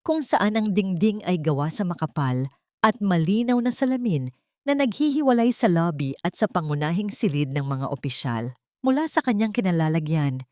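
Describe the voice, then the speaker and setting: Low-voiced woman narrating a solemn drama. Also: a little mellow, no room sound, clean studio sound. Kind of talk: scripted dramatic story narration.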